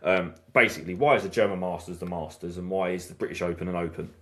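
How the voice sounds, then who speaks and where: A man's voice: deep voice, fairly even intonation, fast talker, background noise. A man hosting a casual snooker podcast chat, stumbling and restarting often as he talks things through. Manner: hesitant, many restarts and fillers. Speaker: snooker channel host.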